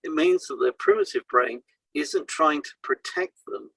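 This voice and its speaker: A man co-hosting an educational podcast, reading scripted explanations in a deliberate, instructive way. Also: on the high side, lively intonation, vocal fry, fairly bright tone, slightly rough, no room sound, rough recording. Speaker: podcast co-host, hypnotherapist. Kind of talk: scripted podcast discussion, read aloud.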